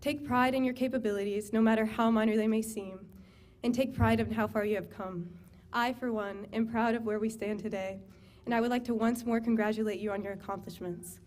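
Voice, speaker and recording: A woman's voice: a little high, fairly even intonation, brisk, darkish tone. A woman giving a formal, earnest address at a graduation ceremony. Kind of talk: graduation speech.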